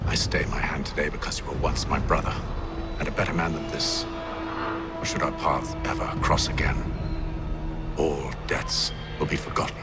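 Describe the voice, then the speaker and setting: An actor playing a composed, calculating leader of a covert band in a video game, speaking formally over music. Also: male voice, rumbling low, level tone. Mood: stern, defiant. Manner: formal, measured, commanding. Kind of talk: video game cutscene acting.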